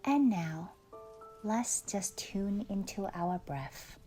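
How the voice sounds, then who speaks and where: A woman leading a guided meditation in a soft, soothing, unhurried way with long pauses, often trailing off into breathiness. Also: medium pitch, lively intonation. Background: faint music.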